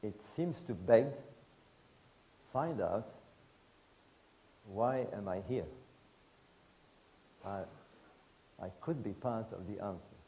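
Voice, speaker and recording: A man in his sixties from Egypt, giving a public lecture to an audience in emphatic, rhetorical bursts of short phrases with pauses. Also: fairly low pitch, gravelly, dark tone, low-quality recording. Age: 60s.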